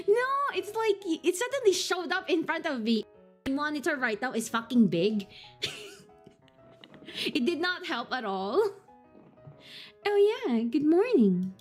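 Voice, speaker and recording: A giggly woman streamer who reads game text aloud in an unhurried way, her voice sitting a little high. She bounces all over her range and breaks into startled curses when the game scares her. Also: big pitch swings, faint music behind. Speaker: streamer playing a horror game. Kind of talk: live game commentary and reading on-screen text aloud.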